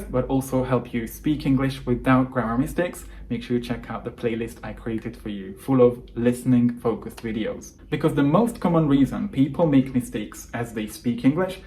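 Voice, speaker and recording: A young man, a native Hungarian speaker, coaching English learners through calm, earnest advice in a scripted monologue. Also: mid-range voice, a little hiss or hum, rough recording.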